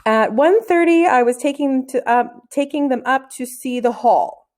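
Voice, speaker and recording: A woman's voice: sits high, moderate pace, a little mellow, pitched above the performer's own voice, lightly acted. A woman voicing a puzzled, mild-mannered male schoolteacher in a children's storybook, hesitant and wondering. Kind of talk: children's storybook read-aloud.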